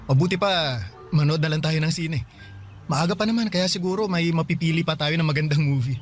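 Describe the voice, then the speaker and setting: An actor playing an eager, considerate young boyfriend, talking casually and attentively in a radio drama. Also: male voice, on the high side, fairly quick, close to an everyday voice. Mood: attentive, reassuring. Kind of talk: Tagalog radio drama dialogue. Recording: faint music bed behind voice.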